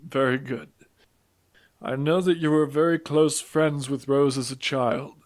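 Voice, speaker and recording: A man's voice: medium pitch, fairly even intonation, measured pace, lightly acted. A man playing a courteous, solemn priest who conducts interviews in a religious audio drama. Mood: courteous, grave.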